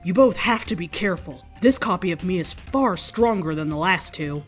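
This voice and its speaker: An earnest, cautionary cyber elf, put on by a man reading aloud. The voice is soft and lifted above a man's normal talk, with music underneath. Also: sits high, animated, normal speed. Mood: earnest warning.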